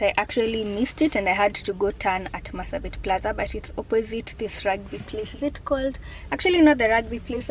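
A young woman in her twenties with a Kenyan accent, chatting brightly to a camera held at arm's length, first in a car and then at an outdoor restaurant. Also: medium pitch, a little hiss or hum, low-quality recording. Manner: bubbly, chatty, mixes in Swahili words. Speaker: vlogger. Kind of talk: casual vlog chat. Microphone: handheld camera at arm's length.

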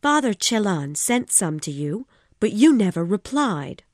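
A man voicing an angry, heartbroken noblewoman in an audiobook, loud and shrill. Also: very animated, a little slow, no echo, studio quality, full character voice. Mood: upset. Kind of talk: audiobook reading.

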